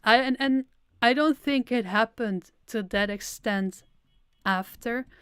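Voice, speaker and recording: Young woman, chatty and self-deprecating, smooth clear voice, dry sound. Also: on the high side, takes it slowly, fairly bright tone, no room sound. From Netherlands. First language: Dutch. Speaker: audio creator streaming a Q&A. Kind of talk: casual livestream Q&A.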